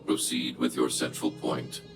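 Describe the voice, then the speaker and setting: An actor playing a cold, imposing guardian robot in a video game, speaking in formal, clipped, machine-like phrases. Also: male voice, a bass voice, completely flat delivery, measured pace, over music. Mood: stern, guarded. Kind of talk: video game cutscene acting.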